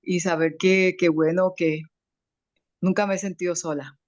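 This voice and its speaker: A woman's voice: on the low side, steady tone, a little mellow, no room sound. Woman w a slight foreign accent telling her story, emotional, lots of restarts, muffled dry call audio. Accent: slight foreign accent in Spanish. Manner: tearful, grateful, halting with restarts. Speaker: webinar participant. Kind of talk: emotional personal testimony. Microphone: video call.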